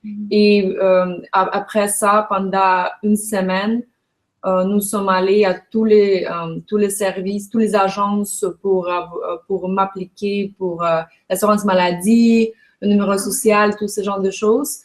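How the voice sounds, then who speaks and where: A woman's voice: medium pitch, flat delivery, measured pace, low-quality recording. Fluent woman explaining immigration steps. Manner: fluent, informative, occasional restarts. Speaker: immigrant describing her arrival. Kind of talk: casual video message.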